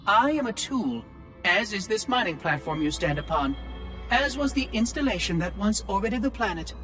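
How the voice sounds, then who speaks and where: A man's voice: sits high, lively intonation, normal speed, soft background music. An actor voicing a curious, condescending ancient male machine caretaker in video game dialogue. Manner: prying, quietly condescending.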